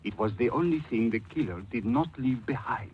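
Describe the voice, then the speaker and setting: A man's voice: mid-range voice, animated, a little fast, close to an everyday voice. An actor playing a formal, hesitant businessman client, polite and evasive, in 1940s radio drama style. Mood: guarded, earnest. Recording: muffled 1940s radio, light noise.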